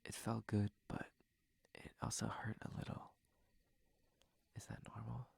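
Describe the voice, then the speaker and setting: A shy, well-mannered prince, acted by a man for an intimate ASMR roleplay. His voice is low and breathy with a creaky edge, often sinking into a whisper. He speaks gently and formally, hesitating in a flustered, polite way. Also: monotone, a little slow, dry recording, full character voice. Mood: dazed, gentle. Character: courteous, shy, flustered young prince at a masquerade.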